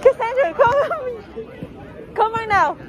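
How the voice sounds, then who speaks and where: A teenage girl vlogging with friends on a school campus, laughing constantly and teasing, recorded on a phone held low. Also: lively intonation, a little slow, a crowd in the background, background noise. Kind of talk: giggly casual chat. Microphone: phone camera held low.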